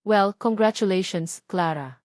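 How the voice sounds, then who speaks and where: A woman voicing a calm, cheerful, reassuring female doctor in an audio storybook. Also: medium pitch, measured pace, dry recording, studio quality, natural voice. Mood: upbeat reassurance. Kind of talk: dramatic audio storybook dialogue.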